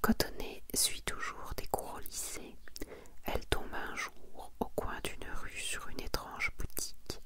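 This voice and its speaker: A woman whispering an ASMR presentation in a breathy, intimate voice, moving between reading aloud and casual unhurried commentary. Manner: soft-spoken, intimate, unhurried. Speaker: ASMR host presenting a manga collection.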